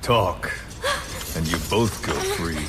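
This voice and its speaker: An actor playing a cruel, sadistic interrogator who taunts captives with slow, deliberate menace in a game drama. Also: male voice, deep voice, heavy background noise. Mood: cold menace. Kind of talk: video game voice acting, dramatic scene.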